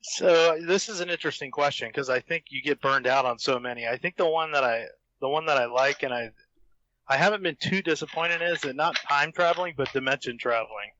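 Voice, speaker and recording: A man's voice: fairly high pitch, brisk, pushed and bright, dry recording, muffled recording. A man from the United States in casual podcast chat, explaining his picks as a guest. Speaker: podcast guest, fan fiction author.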